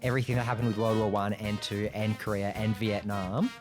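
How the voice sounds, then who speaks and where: A man with a slightly low voice chats casually as part of a podcast panel. Music can be clearly heard underneath his talk. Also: fairly even intonation, a little fast.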